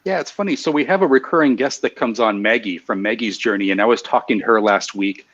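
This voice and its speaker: A man's voice: mid-range voice, fast talker, fairly bright tone. Man, host, live show, casual chat, restarts, dull muffled audio. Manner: easygoing, chatty, with hesitant restarts.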